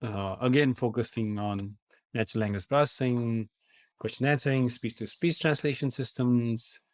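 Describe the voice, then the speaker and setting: A man's voice: mid-range voice, steady tone, normal speed, a little mellow, no room sound. Nepal-born man explaining, dry sound. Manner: earnest, explanatory, with fillers and restarts. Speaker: guest, AI company founder. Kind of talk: conversational interview answers.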